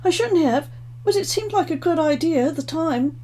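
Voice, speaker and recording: A woman's voice: extremely high pitch, expressive, moderate pace, warm tone, pitched above the performer's own voice. A woman voicing a timid, flustered stepmother in a storybook reading, with a pleading, apologetic manner. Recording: clearly noisy home recording.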